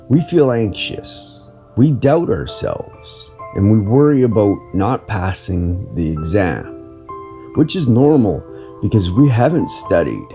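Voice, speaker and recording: Slow, mellow low-voiced man reading inspirationally. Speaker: podcast host and reader. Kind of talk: scripted narration, inspirational article reading. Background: soft plucked guitar music.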